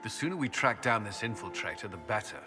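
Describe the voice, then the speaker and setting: Man playing a lowish-voiced, reserved military commander. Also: expressive, quick pace, lightly acted. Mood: businesslike. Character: stern, socially awkward male military commander in formal company. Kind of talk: video game dialogue acting. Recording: clear music behind the voice.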